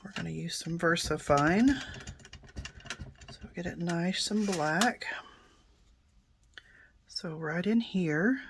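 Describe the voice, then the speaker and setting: A middle-aged woman, hoarse and raspy after a coughing fit, calmly explaining a card-making project step by step and often clearing her throat. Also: slow, pauses often. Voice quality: deep and raspy. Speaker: card-making tutorial host. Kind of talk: casual crafting tutorial narration.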